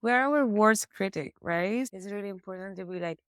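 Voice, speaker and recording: Italian-accented woman teacher, expressive. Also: medium pitch, moderate pace, clean tone, no echo, studio quality. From Italy. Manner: expressive, explains with examples and laughs. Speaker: language teacher, guest. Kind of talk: casual podcast conversation.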